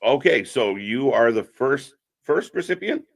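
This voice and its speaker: A man's voice: mid-range voice, animated, a little slow. A man hosting an informal interview in casual chat style, often repeating short affirmations. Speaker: host of a wrestling fan channel.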